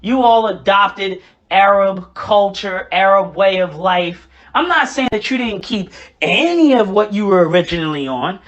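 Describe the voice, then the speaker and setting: A woman's voice: mid-range voice, expressive, measured pace, muffled recording. A woman making an argument in an assertive, lecturing way, in a clip being reacted to. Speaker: video commentator. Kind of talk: argumentative commentary.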